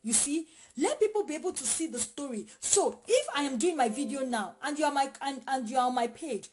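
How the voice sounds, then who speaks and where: A woman's voice: sits high, lively intonation, fairly quick, a little mellow. A woman, chatting directly to viewers during a live question session, recorded on a phone at home. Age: 30s. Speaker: vlogger answering viewer questions. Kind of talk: casual live Q&A chat. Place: small home in a city.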